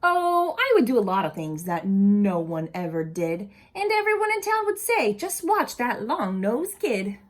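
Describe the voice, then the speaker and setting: A woman reading a picture book aloud expressively to young children, pausing to ask them simple questions. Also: medium pitch, sing-song.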